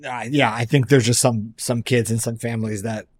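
A man's voice: mid-range voice, normal speed. A man who co-hosts as a doctor, telling a childhood story in a casual chat. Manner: storytelling, animated anecdotes. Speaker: doctor and co-host.

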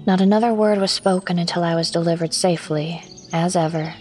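A woman playing a proper, obedient young schoolteacher who reads her diary in formal, old-fashioned, measured diction as horror narration. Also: lowish voice, fairly even intonation, darkish tone, close to an everyday voice. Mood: prim, wistful. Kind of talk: dramatic first-person audio horror narration. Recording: studio narration over prominent music.

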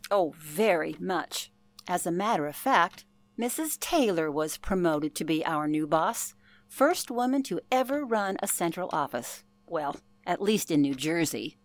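A woman's voice: on the low side, animated, a little slow, dry recording. An actress playing an older former telephone operator, telling her past in an earnest, genteel way for a radio play. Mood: matter-of-fact. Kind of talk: radio play, interview-style reminiscence.

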